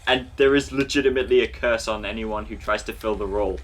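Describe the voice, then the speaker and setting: A man's voice: lowish voice, fast talker, a bit bright. A man with a British accent delivers casual film commentary. He speaks conversationally, as a commentator reacting to and picking apart what he is watching. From Britain.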